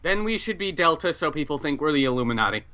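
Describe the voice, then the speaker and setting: A man with a high, lively voice banters in a tabletop game chat, provocative and insistent. His mic is dull and there is clear noise behind him. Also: fast talker. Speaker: player in a tabletop role-playing group. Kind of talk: casual group game chat.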